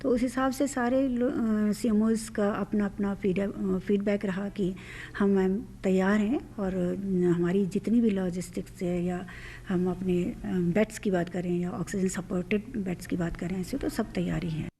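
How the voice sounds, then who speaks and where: A woman official answering unscripted, speaking haltingly and mixing in English terms. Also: mid-range voice, steady tone, mellow. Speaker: health official giving a press comment. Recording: faint ambient background noise.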